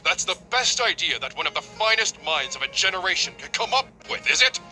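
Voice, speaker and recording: A sharp-tongued older scholar who scolds with biting sarcasm. His voice is bright and slightly high, swinging widely in pitch. Quiet music sits behind him. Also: sing-song, fairly quick, full character voice. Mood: scolding. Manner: sarcastic, berating. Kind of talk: game voice acting.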